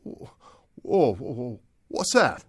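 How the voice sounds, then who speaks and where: An actor playing a nervous, meek small-time crook, stammering and pleading in a radio drama. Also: male voice, medium pitch, big pitch swings, very slow, breathy edge, heavily acted. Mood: agitated, pleading. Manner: stammering, repetitive, anxious, pleading. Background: music.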